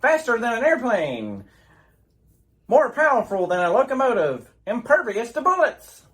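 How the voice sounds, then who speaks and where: A woman's voice: on the high side, huge pitch swings, normal speed. A woman narrating a scripted old-time radio serial with a theatrical, dramatic announcer delivery.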